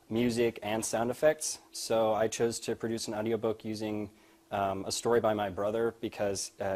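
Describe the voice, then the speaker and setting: A young man with a slightly low voice speaks as a student presenter. He is explaining his senior project in a live talk. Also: darkish tone. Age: young adult.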